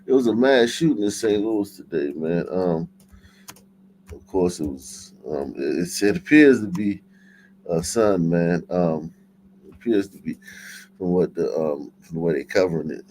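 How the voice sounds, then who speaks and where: A man with a low, mellow voice commenting casually on the news. His talk is blunt, slangy and full of swearing, with noticeable noise behind him. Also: expressive, unhurried, rough recording. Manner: blunt, profane, street slang. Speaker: show host.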